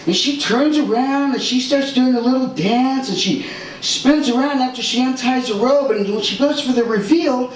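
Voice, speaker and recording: A man's voice: very high voice, normal speed. A man doing a stand-up comedy set on a club stage, punchy and exaggerated, slipping into character voices. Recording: echoey club audio from far off, loud room noise.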